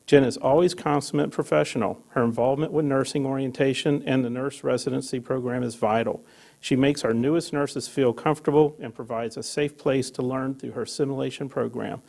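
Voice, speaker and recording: A man's voice: medium pitch, steady tone, a little fast, gravelly, a little mellow. A man presenting an employee award at a public meeting, reading the citation aloud with small fillers. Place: meeting room.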